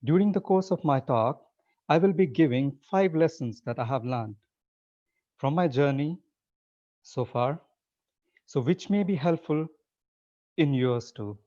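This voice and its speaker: A man, giving a relaxed, conversational talk as a webinar guest speaker over a video call. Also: on the high side, unhurried, pauses often. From Nepal. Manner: relaxed and conversational, with frequent restarts. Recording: slightly muffled webinar audio.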